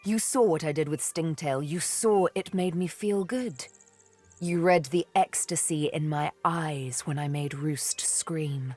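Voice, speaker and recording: Deep-voiced vengeful elf killer, video game, cool and sardonic, bit of music behind. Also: female voice, unhurried, a little mellow. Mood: cold, vengeful. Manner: poised, wry, intimate, theatrical. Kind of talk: fantasy video game acting.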